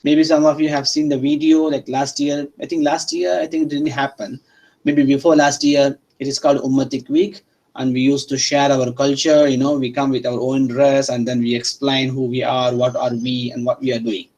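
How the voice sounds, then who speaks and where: A man's voice: medium pitch, level tone, moderate pace, darkish tone, poor audio. A male university lecturer who speaks English as a second language, teaching interactively with repeated phrases and frequent checking questions to students. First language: not Arabic or English.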